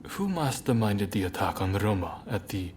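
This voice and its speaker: Grave, low-voiced rebel leader, noisy. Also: male voice, very animated, normal speed, darkish tone. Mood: approving, shrewd. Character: serious, shrewd male rebel clan leader, wary. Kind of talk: dramatic video game cutscene acting.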